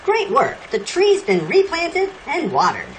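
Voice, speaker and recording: A woman's cheerful, encouraging voice giving clear step-by-step instructions in a game, praising each step. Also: very high voice, wildly swooping pitch, measured pace, slight background noise, low-quality recording. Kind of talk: cheerful scripted game prompts. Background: loud game music.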